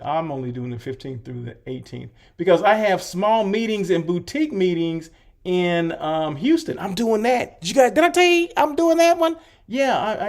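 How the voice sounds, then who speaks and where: A man hosting a live show about hotels, talking casually and rambling with many fillers. He is slightly hoarse and keeps clearing his throat. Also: high-pitched, darkish tone. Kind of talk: casual livestream chat.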